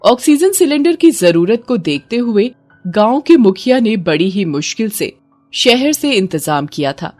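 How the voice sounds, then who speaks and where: A woman's voice: a little high, sing-song, measured pace, darkish tone. A woman reading a scripted horror story in a dramatic storytelling manner. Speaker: story narrator. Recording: music bed under the voice. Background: background music.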